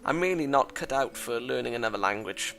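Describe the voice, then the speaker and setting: A man's voice: medium pitch, animated, fairly quick, gravelly, a bit bright. A man reading a thoughtful teenage schoolboy's lines and inner narration in a plain, reflective way, with faint music behind.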